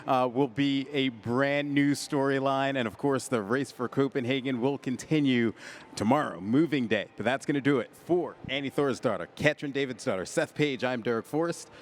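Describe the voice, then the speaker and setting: A man's voice: medium pitch, normal speed. A man hosting a live sports broadcast, steering the desk conversation like a commentator. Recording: faint background venue noise.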